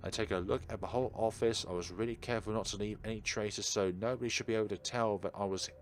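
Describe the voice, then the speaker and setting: A man reading a visual novel aloud as a let's-play host, theatrical and drawn-out, with dry ad-libbed asides. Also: on the low side, fairly even intonation, a little hiss or hum. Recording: prominent game music behind the voice. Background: video game music.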